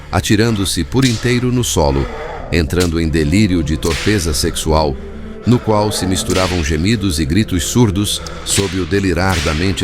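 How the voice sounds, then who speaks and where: A man reading scripted audiobook narration in a formal, measured way. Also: low-pitched, background noise. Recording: music bed behind narration.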